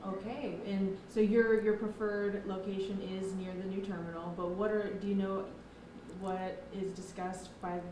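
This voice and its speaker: A woman's voice: medium pitch, flat delivery, unhurried. A woman news reporter asking casual interview questions. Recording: echoey room, background noise.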